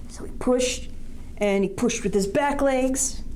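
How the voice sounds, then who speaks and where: A woman's voice: high-pitched, slow pace, darkish tone, slight background noise. A woman reading a picture book aloud to young children, expressively, with questions and asides to her listeners.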